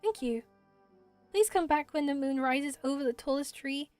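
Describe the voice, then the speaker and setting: Woman voicing a high-pitched, earnest little forest spirit. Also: moderate pace, darkish tone, soft background music, lightly acted. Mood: solemn. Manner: quaint inverted phrasing, speaks ceremoniously. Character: small gentle forest spirit, solemn, earnest and childlike. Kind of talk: amateur voice acting of video game dialogue.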